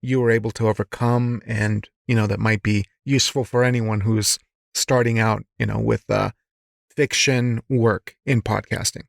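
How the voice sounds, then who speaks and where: A man's voice: fairly low pitch, steady tone, normal speed, vocal fry, dry recording, studio quality. A man hosting a conversational podcast interview in an encouraging, attentive way.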